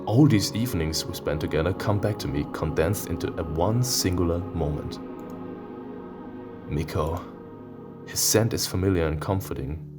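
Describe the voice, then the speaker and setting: Male reader as introspective furry visual novel lead, deep and earnest, tender delivery over clear music. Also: normal speed, lightly acted. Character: introspective, tender anthropomorphic animal narrator of a romance visual novel.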